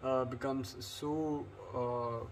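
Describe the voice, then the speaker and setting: A man from Pakistan talks through an English lesson in a flat, level voice that barely rises or falls. He rambles with frequent fillers and restarts. Faint background noise sits under the recording. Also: mid-range voice, very slow. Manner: flat delivery. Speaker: English teacher. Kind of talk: unscripted lecture.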